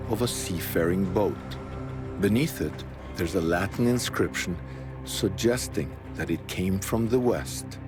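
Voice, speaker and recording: Earnest male documentary narrator over music. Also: fairly low pitch.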